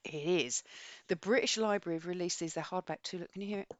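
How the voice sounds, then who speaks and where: A woman's voice: on the low side, fast talker, no room sound. A woman co-hosting a podcast, chatting casually about books in a rambling, digressive way. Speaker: podcast co-host. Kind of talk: casual book chat.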